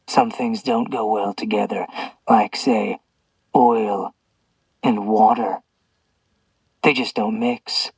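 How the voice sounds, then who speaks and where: A sneering, vengeful male captor who taunts his prisoner with slow, poisonous mockery, as a man puts him on in an audiobook. The voice is dry and close, a little husky. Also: fairly low pitch, steady tone, a little mellow, no echo, recorded from a distance, pitched below the performer's own voice, heavily acted, poor audio. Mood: venomous taunting. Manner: venomous, mocking, drawn-out. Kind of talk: audiobook character voice.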